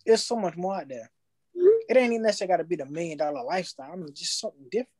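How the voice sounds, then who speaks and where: A young man, telling his story in casual podcast chat, slangy and animated, acting out his past thoughts. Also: a little high, very fast, no echo.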